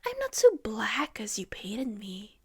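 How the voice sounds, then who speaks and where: A woman voicing a bitter, sneering rogue policeman who turns whiny with self-pity, in a breathy, half-whispered storybook reading. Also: fairly high pitch, huge pitch swings, takes it slowly, exaggerated voice. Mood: menacing. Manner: sneering, whining.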